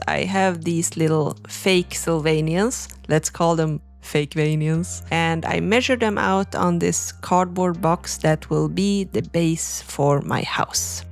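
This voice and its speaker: A young woman narrates a craft tutorial at an easy, unhurried pace. Her voice sits fairly low and carries a gravelly texture, and she talks casually, with plenty of "like" and "you know." Faint music plays behind her. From Sweden. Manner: relaxed, chatty explaining with frequent "like" and "you know". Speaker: crafting channel host.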